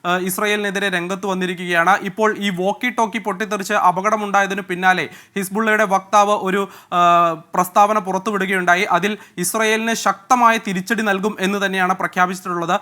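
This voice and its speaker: A man at the news desk giving a live news briefing, speaking steadily and informatively but often pausing with hesitation sounds. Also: high voice, fairly even intonation, bright, forceful tone.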